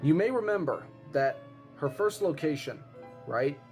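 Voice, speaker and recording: A man playing an earnest eyewitness who tells a grave disaster theory in an insistent, suspenseful way with dramatic pauses. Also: a little high, expressive, darkish tone. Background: music behind the voice.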